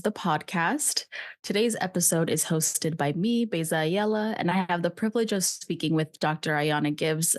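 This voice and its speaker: A woman hosting a podcast interview, reading her introduction and then asking questions with some hesitation and fillers. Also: fairly low pitch. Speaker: podcast host.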